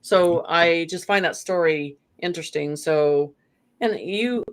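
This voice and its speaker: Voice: mid-range voice, slow pace, clear, resonant tone. A guest in a spiritual conversation, talking in a meandering way with frequent "you know" fillers.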